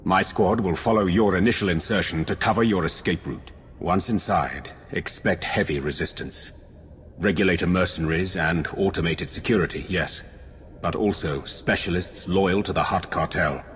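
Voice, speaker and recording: An actor playing a stern military squad commander in a video game, giving a terse, measured briefing. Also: male voice, low-pitched, in a large hall, slight background noise, natural voice. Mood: grave.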